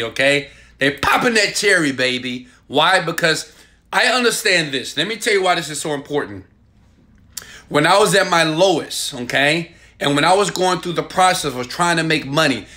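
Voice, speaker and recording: A man in his thirties, filmed on a phone held at arm's length in a small room at home, giving a hyped and preachy sales pitch with loud bursts and quieter asides. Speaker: online marketing coach. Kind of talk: selfie video sales pitch.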